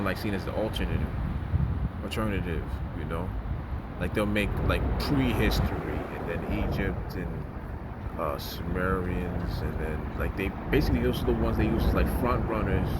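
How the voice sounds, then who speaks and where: A deep-voiced man rambles through a casual monologue, stopping and restarting his thoughts. He is outdoors by the water, and loud background noise from boats and traffic runs under his voice. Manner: loose and rambling, many fillers and restarts. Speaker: video creator.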